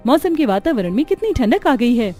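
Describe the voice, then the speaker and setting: A woman's voice: high-pitched, big pitch swings, fairly quick, mellow, faint music behind. A woman voicing a spirited young village daughter-in-law in a cartoon story, chatty and outspoken. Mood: cheerful. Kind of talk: Hindi cartoon story acting.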